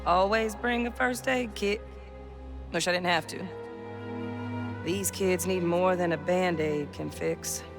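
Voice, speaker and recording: A weary, caring woman in a game monologue. She is slightly low in pitch and very slow and reflective, with clear music behind her. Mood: weary, worried.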